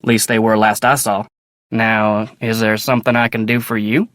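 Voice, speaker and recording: An actor playing a polite, earnest young ranch hand in a video game, with a folksy American Western drawl and a plainspoken way of talking. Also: male voice, lowish voice, little pitch movement, moderate pace, a bit bright, dry recording, natural voice. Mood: plainspoken, courteous. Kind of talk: video game character dialogue.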